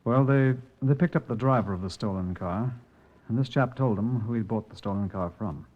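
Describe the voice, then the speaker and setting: An actor playing a methodical, respectful police sergeant in an old-time radio crime drama. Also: male voice, on the low side, normal speed, dull audio, lightly acted. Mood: thoughtful, measured.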